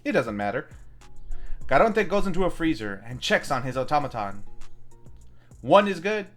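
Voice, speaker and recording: Animated man narrating a film review. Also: fairly high pitch, moderate pace, faint music behind. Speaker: host of a film review show.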